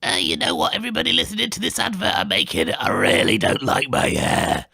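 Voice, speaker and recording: A man's voice: on the low side, wildly swooping pitch, brisk, creaky, pushed and bright, husky, dry recording, studio quality, full character voice. A man playing a miserable, rambling, very old man in a comic radio monologue and mock advert. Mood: glum rambling.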